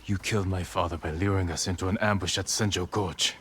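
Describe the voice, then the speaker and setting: An actor playing a grim young samurai lord in a video game, stern, restrained and intense. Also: male voice, sits low, fairly even intonation, fairly quick, a bit bright, a little hiss or hum. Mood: stern resolve. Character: grim young samurai lord haunted by his father's death. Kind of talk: dramatic video game cutscene acting.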